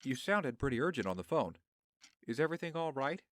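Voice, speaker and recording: A man's voice: medium pitch, very animated, brisk, slight background noise, lightly acted. A man playing a genial, unruffled friend in an audio drama, affable, wry and reassuring. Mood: wry, concerned.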